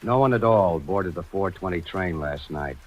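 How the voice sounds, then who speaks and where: A man's voice: low-pitched, expressive, moderate pace, very noisy. A man playing an insistent, skeptical detective with formal, pressing questions in old-time radio style. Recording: dull vintage radio recording.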